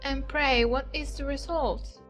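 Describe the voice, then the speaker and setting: A woman acts out a haughty, flirtatious Regency society lady in a high voice. Her delivery is mannered, genteel and theatrical. Faint music sits under her in this audiobook drama. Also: steady tone, a little slow, a bit bright. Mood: pert, superior.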